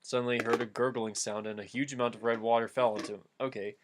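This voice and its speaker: A man's voice: medium pitch, little pitch movement, fast, noisy background. A man hosting a casual reading of fan fiction, switching between narrating the text and offhand commentary on it.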